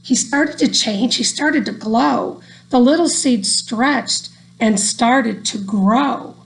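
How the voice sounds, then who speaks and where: A woman reading a rhyming children's story aloud in an expressive, deliberate storytelling manner. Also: fairly high pitch, creaky, low-quality recording. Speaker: storybook reader.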